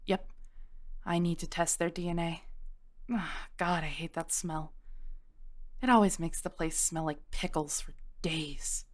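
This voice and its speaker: A woman playing a kindly modern witch shopkeeper, patient, wry and reassuring, in an intimate scripted audio roleplay, speaking gently as she explains. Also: on the low side, lightly acted. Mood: confiding, wry.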